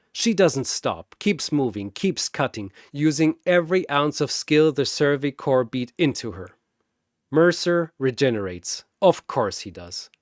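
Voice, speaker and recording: A man's voice: mid-range voice, no echo, clean studio sound. A man narrating a dramatic battle story in vivid, play-by-play fashion.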